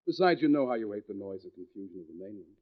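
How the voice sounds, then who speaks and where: A man's voice: on the low side, lively intonation, very fast, lightly acted. Man acting edgy, secretive husband on tinny radio. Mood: evasive. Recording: vintage radio, phone-like narrow band.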